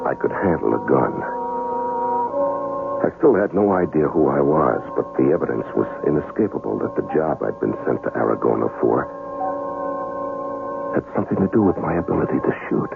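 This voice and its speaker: Very deep, gravelly American drama hero. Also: male voice, very animated, fairly quick, long pauses, heavily acted. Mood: brooding. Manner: blunt, dryly wry, guarded. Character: wary, wry American amnesiac gunman telling his story. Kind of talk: 1970s radio drama, narration and dialogue. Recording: muffled vintage radio, music underneath.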